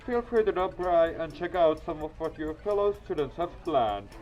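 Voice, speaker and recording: A man voicing a loud, peppy school announcer in a visual novel, with music behind. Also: fairly high pitch, lively intonation, moderate pace, lo-fi sound, pitched above the performer's own voice, close to an everyday voice. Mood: loud, upbeat.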